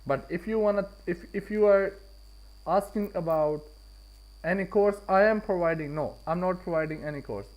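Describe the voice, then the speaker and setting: Casting: male live-stream trading host, Pakistani accent, voice a bit on the high side, casual and repetitive for emphasis. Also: moderate pace, a little mellow, low-quality recording. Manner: repeats and rephrases points for emphasis.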